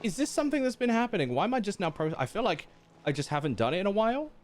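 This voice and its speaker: A man's voice: high voice, very animated, very fast, faint music behind. A man doing casual live game commentary as a streamer, informal, slangy and playful.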